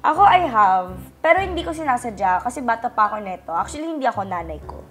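A young woman chatting casually with friends in a home kitchen, lively and reading out party game prompts, on a lapel mic. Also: a little high, fast talker, music in the background. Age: young adult. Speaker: guest friend.

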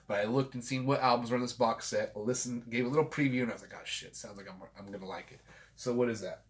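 A man's voice: mid-range voice, quick pace. A man in his forties hosting a home vlog, talking loosely and swearing freely after a couple of drinks, recorded on a desk camera in a small room. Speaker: vinyl collector channel host. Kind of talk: casual rambling vlog. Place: small home room with framed pictures and a guitar.

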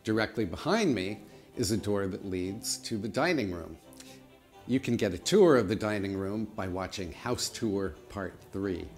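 A man's voice: fairly low pitch, expressive, moderate pace, faint music behind. Chatty middle-aged man guiding a house tour. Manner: chatty, friendly tour-guide delivery. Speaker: home vlogger giving a house tour. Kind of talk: casual house tour narration to camera. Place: large old house with high-ceilinged rooms, indoors.

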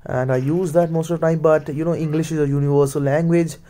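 Male gamer, Indian accent, Hindi and Punjabi native. Relaxed, dark-toned, slightly high voice, casual running commentary. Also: fast. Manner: mutters play-by-play, slips into Hindi. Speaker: gaming video creator.

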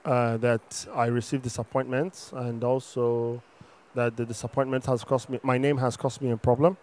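Flat-toned, hesitant man. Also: medium pitch, moderate pace. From Enugu, Nigeria. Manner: hesitant, searching for words. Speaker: congregant giving testimony. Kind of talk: religious testimony, answering questions. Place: open arena. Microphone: handheld microphone held for him.

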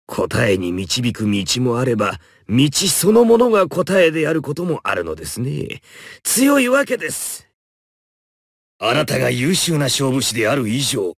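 An eccentric, philosophical gentleman doctor from a fighting game. His speech is courteous and measured, yet theatrical. The mid-pitched male voice swings dramatically up and down, recorded very dry. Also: dry recording. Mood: pensive. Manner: courteous, measured, theatrical, swinging from grave murmurs to high exclamations. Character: eccentric male doctor with a paper-bag head, polite and philosophical. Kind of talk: video game victory lines, theatrical Japanese voice acting.

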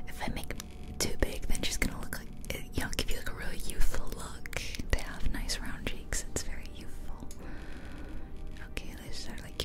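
A woman speaks entirely in an unvoiced whisper, very close to the microphone in an ear-to-ear ASMR style. She muses aloud as she comments on a game and talks directly to her listeners. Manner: musing, chatty, addressing listeners directly. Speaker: ASMR game creator and host.

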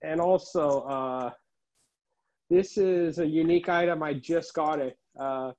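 A middle-aged man giving a casual show-and-tell tour as a collector, listing items haltingly, recorded indoors on a handheld phone. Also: on the high side, darkish tone. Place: room at home, indoors. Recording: dull, muffled phone audio.

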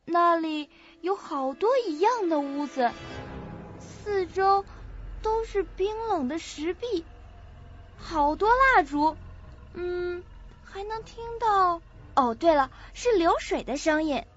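A woman's voice: extremely high pitch, lively intonation, slow, soft background music, full character voice. An actress in an audio drama playing a sweet, forgetful young woman with a naive, hesitant way of talking. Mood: hesitant recalling. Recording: muffled lo-fi audio.

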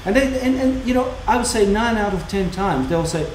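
A man in his fifties with a heavy former Yugoslav accent, telling animated stories in a casual chat as a watchmaker and co-host. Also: high-pitched, a little fast. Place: indoor watchmaking workshop. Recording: roomy workshop with faint hum.

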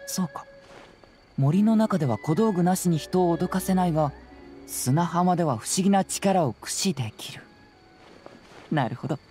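A man voicing a youthful, confident detective in an anime game, speaking in a measured, analytical, explanatory way. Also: high voice, lively intonation, mellow. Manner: measured, explanatory, self-assured. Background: faint background music.

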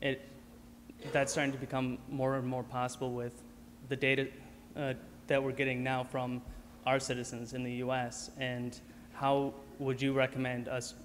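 A man reads a prepared question from the audience, stumbling and restarting his phrases. His delivery stays flat and even. A long hall reverb and faint noise surround him. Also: mid-range voice, moderate pace. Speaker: audience member asking a question. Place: large auditorium.

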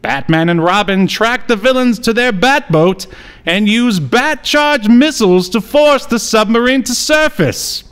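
A bombastic superhero-serial announcer, played by a man, pushed high and loud. He proclaims a villainous plot with grand melodrama and hard emphasis on every phrase. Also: animated, a little slow, smooth, heavily acted. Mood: grandly dramatic. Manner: booming, dramatic, emphatic delivery.

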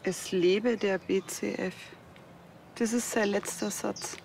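Defensive bavarian widow, tv drama, plain talk, gravelly edge, noisy background. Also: female voice, medium pitch, fairly even intonation, takes it slowly, darkish tone. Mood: puzzled. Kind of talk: naturalistic TV drama dialogue.

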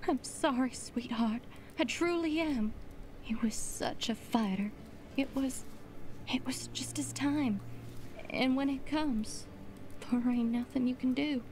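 A woman's voice: high voice, slow pace, darkish tone, slight background noise. A woman voicing a kind but firm motherly villager woman in a game, with a folksy way of talking. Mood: tender. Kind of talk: game cutscene dialogue.

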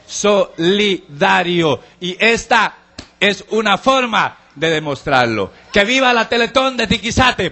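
A man with a Guatemalan accent doing a crude, shouting devil doctor character in a live stand-up act on a handheld mic. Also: sits high, voice pushed down, exaggerated voice. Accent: Guatemalan Spanish. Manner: shouting, vulgar, mocking. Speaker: stand-up comedian. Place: outdoor-style stage at a live charity show.

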